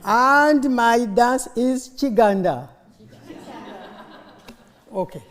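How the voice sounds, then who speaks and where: Mature female conference speaker, Ugandan accent, native Luganda, unhurried delivery, animated storytelling in a reverberant hall. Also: fairly high pitch. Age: middle-aged or older. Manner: animated storytelling, prompting listeners with questions. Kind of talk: informal conference speech with audience call-and-response. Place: conference hall, live talk to an audience. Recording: echoey hall, long reverb.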